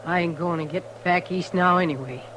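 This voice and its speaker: A woman's voice: on the low side, normal speed, a bit bright, lightly acted. An actress playing a bitter, defiant old frontier woman with an American accent and folksy rustic speech in a radio western. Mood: weary, defiant. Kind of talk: 1950s radio western acting. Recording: noisy vintage radio drama recording.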